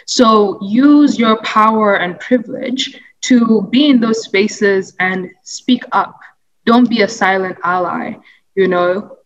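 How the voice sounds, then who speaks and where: A young woman from Uganda speaks in an interview at a middling pitch. She sounds earnest and thoughtful, often pausing on fillers and restarting her phrases as she works through her answer. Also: fairly bright tone, poor audio. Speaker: interviewee, anti-racism advocate.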